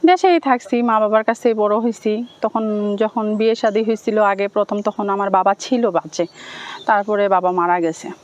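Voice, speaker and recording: Fast-talking Bangladeshi woman, pleading. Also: mid-range voice. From Thakurgaon, Bangladesh. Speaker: interview guest telling her life story. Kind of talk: plain personal account in an interview. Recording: dull lo-fi sound.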